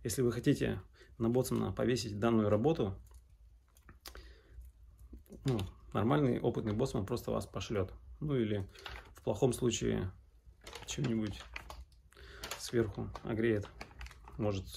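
A man's voice: fairly low pitch, moderate pace, gravelly, long pauses, mellow, slight background noise. A man in his thirties from Arkhangelsk in northern Russia, answering questions in a casual vlog with hesitant, broken phrasing. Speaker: sailor vlogger. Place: small indoor room at home.

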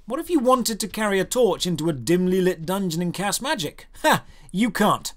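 High voiced guy doing a scripted game review, sarcastic and fed up, punches key words. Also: big pitch swings, normal speed, fairly bright tone. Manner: sardonic, exasperated.

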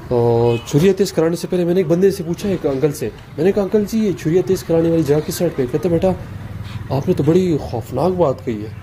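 A man's voice: fairly high pitch, lively intonation, fairly quick. A young man from Pakistan chatting casually to viewers as he walks through a market, filming himself on a phone held at arm's length. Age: young adult. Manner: chatty, talks to viewers while walking. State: tired. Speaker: vlogger. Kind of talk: casual walking vlog. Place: busy street market outdoors. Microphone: phone camera held at arm's length. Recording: loud street noise on phone. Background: street traffic noise.

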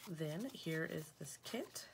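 A woman's voice: sits low, animated, slow, background noise. A woman with a sniffly voice from allergies, chatting through her planner stickers with fillers and self-corrections. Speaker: planner vlogger. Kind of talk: casual show-and-tell narration. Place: at home at a table.

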